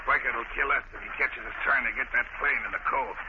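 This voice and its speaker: An actor playing a tough, jumpy gangster in an old radio drama, with a gruff, slangy, urgent delivery. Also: male voice, medium pitch, fast, close to an everyday voice. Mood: gruff, impatient. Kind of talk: 1940s radio drama acting. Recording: muffled, very noisy old radio broadcast.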